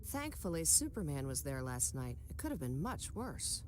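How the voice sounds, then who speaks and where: An actress playing a bold, brisk newspaper reporter in a video game, confident and wryly teasing. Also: female voice, deep voice, sing-song, a little mellow, slight background noise. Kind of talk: video game cutscene acting.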